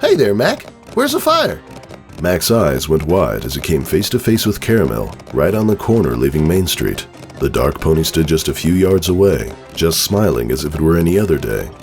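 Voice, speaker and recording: A man's voice: very deep voice, sing-song, normal speed, darkish tone, soft background music. A man giving a dramatic storybook narration of a fan fiction tale.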